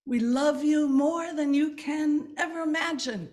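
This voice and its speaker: A woman giving a prepared talk about her congregation, earnest and measured. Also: high voice, a little mellow. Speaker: church speaker.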